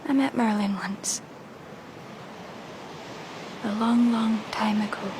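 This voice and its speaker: Actress as a dazed, slow, grief-stricken young mother. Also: mid-range voice, steady tone, lightly acted. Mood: dazed, distant. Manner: vague, dreamy, often whispering. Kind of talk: radio drama acting. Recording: noisy ambience behind the voice.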